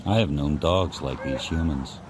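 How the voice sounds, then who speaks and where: A man voicing a grave, world-weary sled dog who narrates a radio drama slowly and ominously, scornful of humans. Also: rumbling low, very noisy. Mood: grave, brooding.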